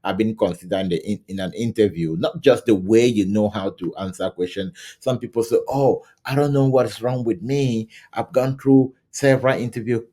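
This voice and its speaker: Low, mellow-voiced man coaching to camera. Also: moderate pace, hardly any pauses. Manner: conversational, repeats "right?" and restarts often. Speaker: scrum coach. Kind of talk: teaching to camera. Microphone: camera mic, facing camera.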